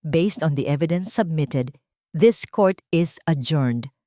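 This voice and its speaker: A woman's voice: low-pitched, lively intonation, slow, mellow, dry recording. Woman acting stern lady judge, authoritative, formal, unwavering, Filipino accent, audio story, dry recording. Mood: final, commanding. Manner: formal, firm, unwavering, clear.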